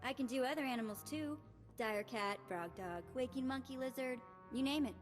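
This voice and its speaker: Actress as high-pitched, cheeky prisoner. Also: female voice, moderate pace, faint music behind, close to an everyday voice. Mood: mocking. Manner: sassy, taunting. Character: mouthy female prisoner, cheeky, mocking troublemaker. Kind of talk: video game voice acting.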